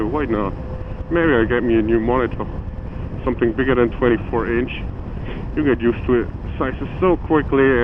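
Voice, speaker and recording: German-accented man vlogging from a motorcycle. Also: mid-range voice. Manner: rambling, self-deprecating, talks to himself. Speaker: motovlogger. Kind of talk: live motovlog chat while riding. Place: outdoors on a motorcycle in winter. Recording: phone-like audio with strong wind and engine noise. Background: wind and vehicle noise.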